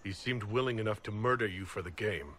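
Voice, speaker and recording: An actor playing a steady, gallant leader in a video game, speaking in a courteous, direct and diplomatic manner. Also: male voice, on the low side, brisk, a little hiss or hum, natural voice. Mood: reassuring. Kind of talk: video game cutscene acting.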